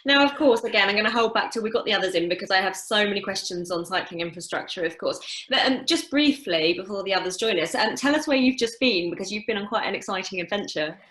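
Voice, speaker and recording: A woman's voice: mid-range voice, expressive, fast, hardly any pauses, fairly bright tone. A woman hosting a panel on a video call, upbeat and enthusiastic. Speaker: host. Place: home room on video call. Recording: roomy echo on a call.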